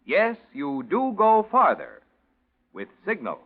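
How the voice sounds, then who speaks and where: A man's voice: high-pitched, wildly swooping pitch, slow pace. A man announcing for a sponsor in an emphatic, polished 1940s radio style. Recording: thin, tinny 1940s radio recording.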